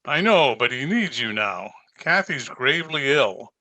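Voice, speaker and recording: An actor playing a grave, concerned country doctor in an old-time radio drama, earnest and deliberate. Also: male voice, medium pitch, sing-song, sharp tone, exaggerated voice, rough recording. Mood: grave, urgent.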